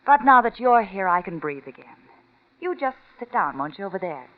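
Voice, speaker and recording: A dull-sounding vintage radio drama. An actress plays a femme fatale with a very mobile, swooping pitch. She is coy, evasive and coaxing, a client working her charm on a detective. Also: big pitch swings, normal speed, a little hiss or hum, lightly acted. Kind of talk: classic American radio noir acting. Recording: dull old radio recording.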